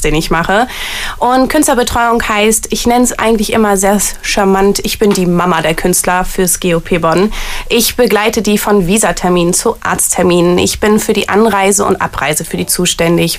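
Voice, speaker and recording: A young woman from Germany who works as an artist liaison, answering interview questions in an eager, chatty way and explaining things in long lists. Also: mid-range voice. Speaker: artist liaison at a variety theater.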